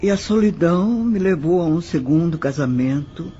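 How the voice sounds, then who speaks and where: A man's voice: on the high side, lively intonation, mellow, a little hiss or hum, lightly acted. A man with a Brazilian accent playing a sorrowful nineteenth-century widow who recounts her life formally in an audio drama. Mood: reminiscing. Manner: slow, measured, formal recollection. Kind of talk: scripted audio drama monologue. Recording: slightly muffled, music underneath. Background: background music.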